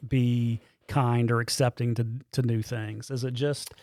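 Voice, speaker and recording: A man of about sixty in a casual podcast conversation, speaking in a halting, hesitant way. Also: medium pitch, little pitch movement, darkish tone, no echo, clean studio sound. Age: 60s.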